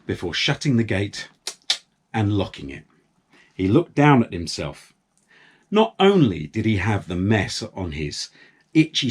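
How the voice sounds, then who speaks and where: A man's voice: on the low side, slightly rough. A man telling a story to young children in a playful way, drawing out and repeating words. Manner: playful, with drawn-out words and repetitions.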